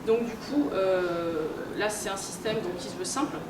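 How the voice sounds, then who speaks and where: A woman lectures informally at a convention, heard from well away from the microphone in an echoey room. She explains things in everyday language, often hesitating and repeating words as she goes. Loud noise runs behind her voice. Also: mid-range voice, normal speed. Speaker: guest speaker, researcher and game publisher. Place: room at a convention, recorded from afar. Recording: distant roomy mic, loud background noise. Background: loud ambient noise.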